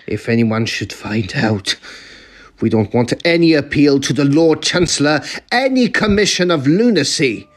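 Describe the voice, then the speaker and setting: A man's voice: fairly high pitch, expressive, normal speed. A man playing a peevish, anxious villainous guardian in a theatrical old-style radio melodrama. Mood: uneasy. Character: uneasy, peevish Victorian villain, a scheming guardian.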